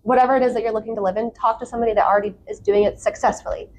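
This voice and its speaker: A woman's voice: fairly high pitch, fairly even intonation, racing, darkish tone, a little hiss or hum. A woman chatting casually and enthusiastically as an interview guest, in an informal way. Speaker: podcast guest, content creator.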